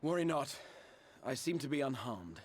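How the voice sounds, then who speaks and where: Earnest, noble fantasy hero, swooping intonation. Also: male voice, medium pitch, sing-song. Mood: reassuring. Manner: formal, eloquent, measured storytelling. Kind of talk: dramatic video game voice acting.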